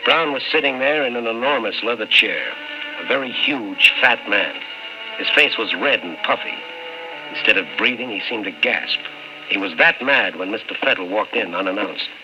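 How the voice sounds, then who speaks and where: Man acting a wry, low-voiced radio storyteller. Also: animated, moderate pace, natural voice. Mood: wry narrating. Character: wry, skeptical male night-beat storyteller. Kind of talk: old-time radio drama, first-person narration. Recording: dull old radio recording with music.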